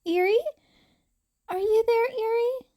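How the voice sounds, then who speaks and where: A woman's voice: very high voice, fairly even intonation, measured pace, dark tone, pitched above the performer's own voice. A woman voicing a battered teenage boy vigilante, weary but kind and protective, with a strained voice in an audio story. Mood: gentle, reassuring. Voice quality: strained, raspy.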